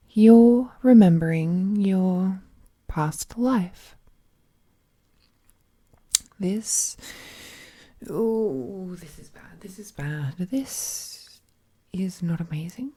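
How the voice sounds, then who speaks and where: A woman playing an ancient, all-powerful female captor, possessive and lovesick, in an intimate villainous audio roleplay with a hushed, menacingly tender delivery. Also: on the low side. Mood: dawning alarm. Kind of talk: scripted audio roleplay, intimate dramatic monologue.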